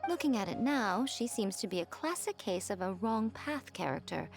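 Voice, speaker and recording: An actress playing a sly, elegant, teasing fox shrine maiden in a video game, poised and amused. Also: female voice, mid-range voice, big pitch swings, normal speed, gravelly, soft background music. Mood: amused, intrigued. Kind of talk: animated game voice acting.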